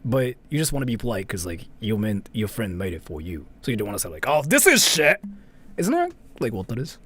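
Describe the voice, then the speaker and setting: A man's voice: fairly low pitch, wildly swooping pitch, brisk, fairly bright tone, slightly rough. A man hosting a livestream in a casual, blunt way, thinking aloud and swearing freely. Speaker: livestream host. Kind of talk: casual livestream reaction chat.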